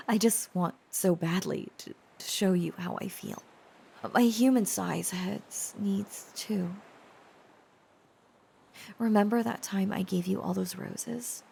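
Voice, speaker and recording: A woman playing an earnest, devoted dragon-blooded girlfriend in an intimate romantic audio roleplay, confiding with hesitant pauses. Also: lowish voice, gravelly, a little hiss or hum, heavily acted. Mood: tender, earnest. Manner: hesitant, confiding, soft-spoken pauses and stammers.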